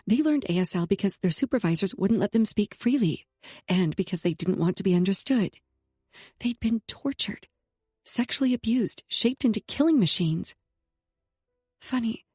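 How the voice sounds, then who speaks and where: Woman reading a romance audiobook, breathy soft voice, dry close mic. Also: mid-range voice, no room sound. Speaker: audiobook narrator. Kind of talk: first-person scripted romance narration.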